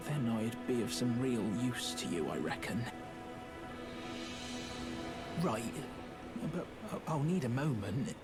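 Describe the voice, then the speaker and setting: A man's voice: medium pitch, sing-song, normal speed, lightly acted. A man playing a timid, plaintive little outcast creature in a video game, hesitant and stammering as he pleads. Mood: nervous, earnest. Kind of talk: video game character acting. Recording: game audio under loud music. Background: loud game music.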